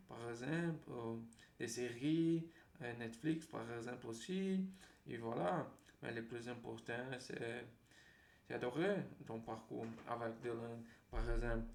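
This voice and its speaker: A man from Brazil with a Brazilian accent and Portuguese as his native language, talking unscripted to viewers in a halting, repetitive way as a language vlogger. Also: mid-range voice, a little mellow. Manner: halting, repeats words and phrases. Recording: roomy echo, faint background noise.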